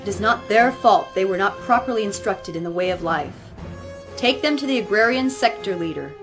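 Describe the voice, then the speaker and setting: A woman's voice: mid-range voice, lively intonation, fairly quick, natural voice. Stern zealot priestess played by a woman, music behind. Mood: cold, commanding. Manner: formal, commanding, preaching. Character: stern, zealous female religious leader of a strict colony. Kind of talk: audio drama acting.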